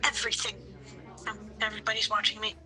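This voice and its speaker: A woman's voice: medium pitch, monotone, fast talker, pushed and bright. A woman playing a vain, callous schemer in a 1930s-style radio horror drama. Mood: scheming.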